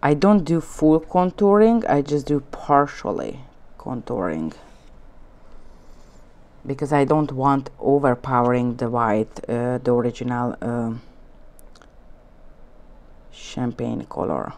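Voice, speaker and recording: A woman's voice: rumbling low, takes it slowly, pauses often, darkish tone. A woman from Hungary speaking English with a Hungarian accent, teaching nail art in a chatty, rambling way full of fillers and broken grammar. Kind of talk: casual demonstration talk. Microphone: off-camera voice over hands-only shot.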